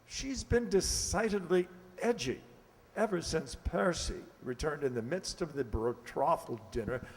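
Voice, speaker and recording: A man's voice: fairly high pitch, lively intonation, a little slow, a little mellow. An actor playing a flustered, hesitant middle-aged English lord and father in a radio play. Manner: hesitant, fussy, stammering. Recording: echoey hall, long reverb.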